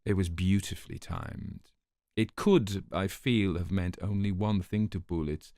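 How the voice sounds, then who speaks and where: A man narrating a crime story from a script in a measured, articulate, dramatised way. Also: low voice, a little mellow, no echo, studio quality.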